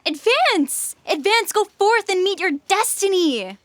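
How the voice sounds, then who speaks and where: Woman playing a bright, high, gleefully destructive program. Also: very animated, a little slow, no room sound, heavily acted. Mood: exultant. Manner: theatrical, taunting, bloodthirsty. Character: Dee, gleefully destructive shape-changing self-destruct program. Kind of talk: sci-fi comedy audio drama acting.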